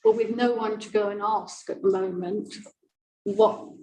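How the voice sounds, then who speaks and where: A woman volunteer reading a charity's report aloud at a public meeting, projecting clearly. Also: medium pitch, steady tone, a little slow, a little mellow, poor audio. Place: council meeting room.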